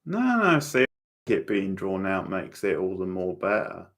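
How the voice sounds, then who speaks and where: Male watchalong host, low and creaky voice, casual and opinionated, talking directly to viewers. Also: big pitch swings, unhurried, fairly bright tone. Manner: opinionated, chatting back to viewers. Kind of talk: casual reactions and commentary on a TV show.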